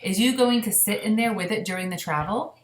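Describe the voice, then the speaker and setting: Woman voicing a folksy, excitable friendly giant. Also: medium pitch, a little fast, echoey room, pitched above the performer's own voice, lightly acted. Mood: gentle, folksy. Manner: quirky ungrammatical speech with invented words.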